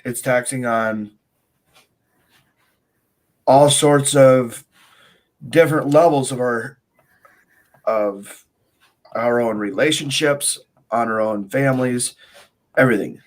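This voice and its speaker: A man's voice: mid-range voice, slow, long pauses. A man co-hosting a casual livestream chat with teasing, light-hearted banter. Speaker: co-host.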